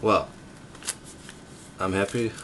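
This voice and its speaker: Male, Dutch accent, low register, unhurried and hesitant amateur tech commentary, noisy room recording. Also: fairly even intonation, a bit bright. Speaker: tech reviewer. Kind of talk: casual unboxing commentary. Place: indoors at a desk in a room.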